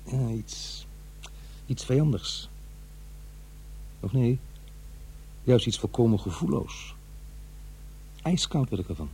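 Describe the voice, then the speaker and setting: An actor playing an uneasy, defensive middle-aged divorced father in a radio drama, confiding in a halting, conversational way. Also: mid-range voice, animated, mellow, husky, full character voice. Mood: agitated, rueful. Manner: halting, hesitant, self-interrupting. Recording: faint noise and hum.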